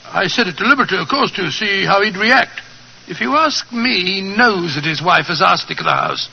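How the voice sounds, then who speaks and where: An actor playing an eager, kindly, bumbling English doctor in 1940s radio drama style, fussy and hesitant. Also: male voice, high voice, very animated, a little fast, creaky, slightly rough, heavily acted. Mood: earnest. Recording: dull old 1940s radio recording.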